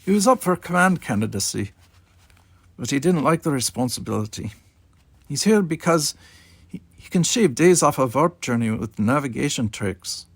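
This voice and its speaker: A stern Russian fleet officer, acted by a male reader, briefing in a raised voice with some gravel. He is matter-of-fact and instructive, in the manner of an audiobook character, recorded very dry. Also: medium pitch, animated, moderate pace, dry recording, pitched above the performer's own voice. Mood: matter-of-fact, critical.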